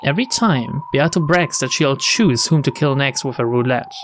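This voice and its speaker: A man's voice: mid-range voice, expressive, normal speed, slight background noise. A man hosting a game playthrough, reading the text aloud and adding casual commentary. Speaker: let's play host. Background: game music.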